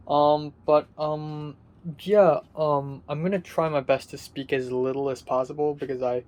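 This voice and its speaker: A young man giving a casual diary vlog from his apartment. His voice is muffled and raspy, and he talks hesitantly, rambling with many ums and restarts. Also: a little high, darkish tone. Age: young adult. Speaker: vlogger.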